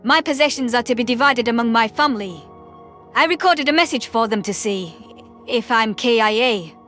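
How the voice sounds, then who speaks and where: A man's voice: extremely high pitch, animated, normal speed, bright, forceful tone, faint music behind, pitched above the performer's own voice, heavily acted. A man voicing a wry human woman soldier in audio story dialogue. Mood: sober.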